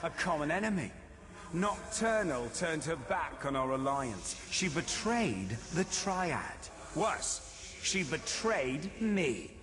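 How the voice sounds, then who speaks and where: Fantasy game villain: vengeful male demon lord, silky and menacing, very animated delivery, long echoing reverb. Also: a little high. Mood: bitter, vengeful. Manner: theatrical, silky, menacing, deliberate. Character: scheming, vengeful male daedric prince, theatrical and menacing. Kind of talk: scripted fantasy video game acting. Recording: long cavernous reverb, game ambience behind.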